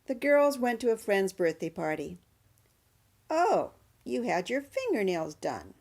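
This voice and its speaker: An actress playing a prying, skeptical relative in a radio drama, gossipy and probing. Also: female voice, medium pitch, huge pitch swings, unhurried, darkish tone, heavily acted.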